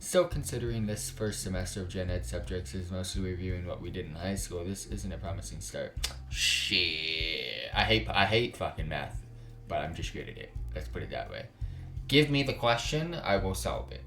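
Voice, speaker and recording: A man's voice: low-pitched, animated, moderate pace, a bit bright, soft background music. A man hosting a gaming video, reading a visual novel aloud and adding casual, slangy commentary. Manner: informal, reacts with slangy asides. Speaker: gaming channel host.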